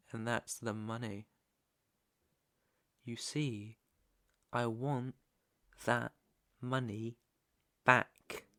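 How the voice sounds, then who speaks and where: A British man playing a menacing, teasing mob boss's son in an intimate ASMR roleplay, with a deliberate, taunting delivery. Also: mid-range voice, a bit bright. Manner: slow, deliberate, taunting, swearing. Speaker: roleplay audio performer.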